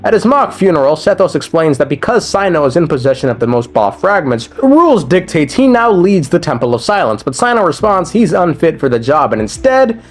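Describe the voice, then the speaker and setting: A man with a slightly high voice gives a casual, irreverent recap of game lore. His intonation moves around a lot as he narrates from a script. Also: big pitch swings, brisk, few pauses.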